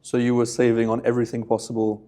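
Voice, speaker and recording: A man's voice: mid-range voice, completely flat delivery, fast, warm tone. A man hosting a casual interview, asking long, chatty leading questions. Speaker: interview host.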